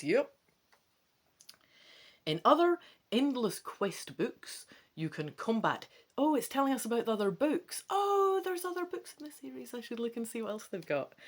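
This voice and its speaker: A woman hosting a solo gamebook let's play, mixing dramatic read-aloud with chatty, musing asides. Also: mid-range voice. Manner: chatty, musing asides between expressive reading.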